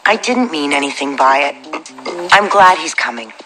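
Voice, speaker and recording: A woman playing a practical, slightly anxious young friend in conversational animated game dialogue. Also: low-pitched, normal speed, low-quality recording. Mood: defensive, weary. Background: music.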